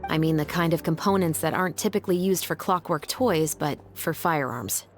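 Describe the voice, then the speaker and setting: A woman's voice: low voice, brisk, soft background music. A woman playing a composed, dutiful young patrol officer in a video game, speaking formally and earnestly. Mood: polite, probing.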